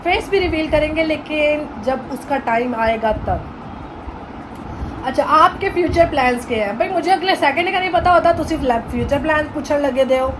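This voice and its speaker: High-pitched young Pakistani woman vlogging. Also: lively intonation, normal speed, background noise. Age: 20s. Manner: chatty, mixing in English phrases and playful Punjabi. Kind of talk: casual vlog Q&A chat.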